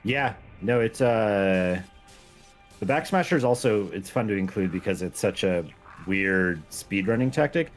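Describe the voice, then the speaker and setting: Male game modder, mid-pitched and very animated, playful casual stream banter, soft music in the background. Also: unhurried. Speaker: game mod creator, guest on stream. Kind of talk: casual chat while playing a game. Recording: music behind the voice.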